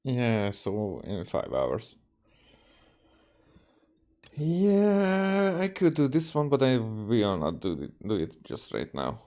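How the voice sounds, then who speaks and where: A man with a Hungarian accent giving casual gameplay commentary, halting and repeating himself. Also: mid-range voice, huge pitch swings, darkish tone. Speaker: game streamer.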